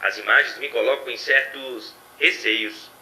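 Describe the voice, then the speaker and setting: A man's voice: mid-range voice, steady tone, a little slow, bright, forceful tone, distant mic, a little hiss or hum, low-quality recording. A man playing an earnest, self-doubting artificial intelligence, giving formal reports in an audio drama. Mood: clinical, uneasy.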